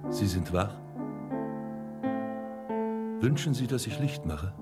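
An actor playing a menacing, domineering hypnotist doctor in a radio drama, giving clipped commands with dramatic pauses. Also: male voice, fairly low pitch, expressive, over loud music. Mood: silky menace. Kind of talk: German radio drama acting.

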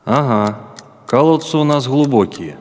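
An actor playing a meek, guarded village peasant in a radio drama, giving short hesitant answers. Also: male voice, mid-range voice, lively intonation, unhurried, darkish tone, natural voice. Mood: wary, meek. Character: wary village peasant man, answering meekly. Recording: distant, long reverb.

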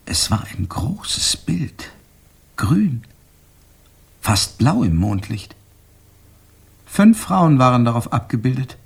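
An actor playing a brooding, jealous man with a husky, breathy voice, formally recalling a night in a radio crime drama. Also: medium pitch, big pitch swings, slow, long pauses, full character voice. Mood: haunted recollection.

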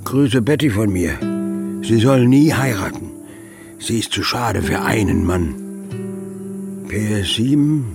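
A man's voice: fairly low pitch, lively intonation, slow, gravelly, long pauses, full character voice. An actor playing an older father, tender and wry, reading out his farewell letter in a radio drama. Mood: wry, fond. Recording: music behind the voice. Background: guitar music.

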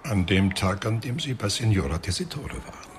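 A man acting in a radio drama as an older, sly police inspector, insinuating, sardonic and deliberate. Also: lowish voice, expressive, noisy background, natural voice.

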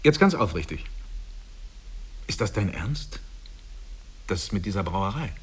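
An actor playing a well-off, smugly concerned husband and friend who lectures in an earnest, patronising way in a radio drama. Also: male voice, sits low, big pitch swings, a little slow, slight background noise. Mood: solemn concern. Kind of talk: stage-play radio drama acting.

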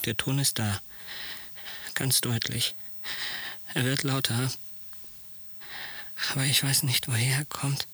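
An actor playing an anxious, suffering male psychiatric patient in a radio drama, husky and breathy, speaking in halting phrases that slip toward whispering. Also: mid-range voice, steady tone, pushed and bright, full character voice. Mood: anguished, fearful. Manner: halting, tense short phrases. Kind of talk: radio drama inner monologue.

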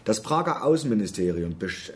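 A man's voice: on the low side, very animated, fairly quick. Defensive, reproachful male activist. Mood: reading out, insistent. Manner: argumentative, defensive. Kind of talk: German radio drama dialogue.

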